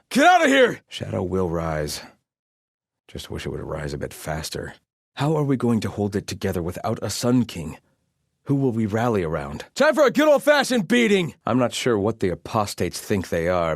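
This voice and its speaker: A man's voice: lowish voice, wildly swooping pitch, normal speed, vocal fry, dry recording, studio quality, full character voice. A man playing a haughty, devout exiled soldier in a video game, speaking in a stern, disdainful and formal way. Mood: battle shouting, then weary, gloomy. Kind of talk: video game character voice lines.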